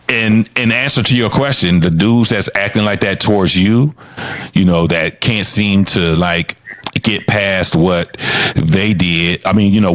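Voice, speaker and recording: A man's voice: fairly low pitch, fairly bright tone, dry recording. A man in his fifties, talking in a blunt, profane, frank way as a co-host of a casual podcast chat. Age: 50s.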